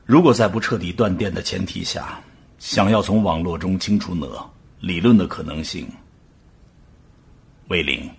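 A man playing a grave, uneasy scientist giving a measured, halting formal speech in a radio drama. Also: low-pitched, husky, exaggerated voice. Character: grave, uneasy male scientist professor addressing a council.

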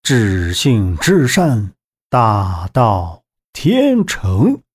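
A man voicing a calm old grandfather in an audiobook, reciting measured, meditative verse in a chanting delivery. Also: fairly low pitch, breathy edge, pitched below the performer's own voice, heavily acted. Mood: serene, solemn. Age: older. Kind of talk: audiobook character voice.

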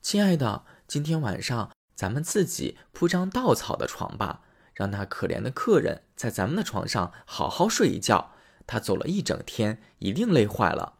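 Guy doing a kind poor wife welcoming a guest, caring tone, lively pitch. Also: moderate pace, vocal fry, husky, full character voice. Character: warm, welcoming poor housewife.

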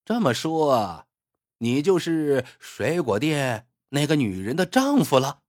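A storyteller voicing an arrogant, cruel martial-arts villain who sneers and threatens. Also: male voice, medium pitch, huge pitch swings, measured pace, a bit bright, breathy edge, full character voice. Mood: sneering.

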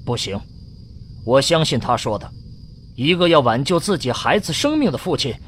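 A man's voice: mid-range voice, sing-song, moderate pace, a little hiss or hum, close to an everyday voice. A man playing a resolute, commanding middle-aged spaceship captain in a science fiction radio drama. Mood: firm, resolved. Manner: firm, commanding. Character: resolute, self-sacrificing male spaceship captain around forty.

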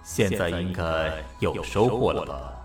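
A man's voice: low voice, expressive, measured pace. A man playing a mysterious, serene, hospitable bartender to the gods in an audio drama, with a leisurely drawl. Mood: pleased, curious. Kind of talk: Mandarin audio drama acting. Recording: echoey, distant voice over music.

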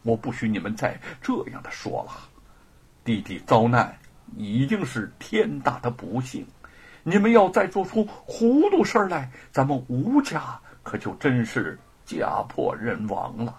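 A man performs a caring elder sibling in a storybook tale. The voice is very husky with wide swings in pitch, consoling and admonishing with emotion. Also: very animated, measured pace, exaggerated voice.

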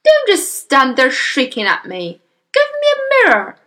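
A woman voicing a vain, blustering middle-aged father in a children's audiobook, yelling with indignant panic. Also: high-pitched, huge pitch swings, unhurried, voice pushed up, full character voice. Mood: furious.

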